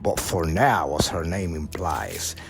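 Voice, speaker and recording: A man narrates a nature documentary in a low voice. His pitch moves in a lively way through the scripted lines, and music plays clearly behind him. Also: takes it slowly.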